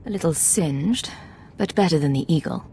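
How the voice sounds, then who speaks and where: An actress playing a cool, determined imperial secret agent in a video game, with a terse, confrontational manner. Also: female voice, low voice, animated, normal speed, dark tone, slight background noise. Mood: brisk.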